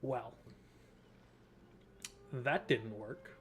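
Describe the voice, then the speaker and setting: A man's voice: medium pitch, very slow, a bit bright, a little hiss or hum. A man giving casual let's play commentary as he streams a game. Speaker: streamer, gameplay host.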